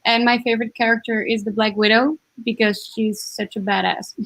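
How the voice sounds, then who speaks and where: Early-20s girl on a video call, relaxed and upbeat, a bit husky, dry close sound. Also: fairly high pitch, fairly quick, dry recording, low-quality recording. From Netherlands. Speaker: event co-host. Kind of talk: casual chat.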